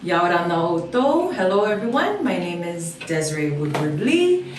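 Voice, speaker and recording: Friendly woman guest from Hawaii; somewhat low voice, recorded at a distance in an echoey room. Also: very animated, moderate pace, a little hiss or hum. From Oahu, Hawaii. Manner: friendly, easygoing, with frequent fillers. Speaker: dance instructor, guest. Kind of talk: casual interview answers.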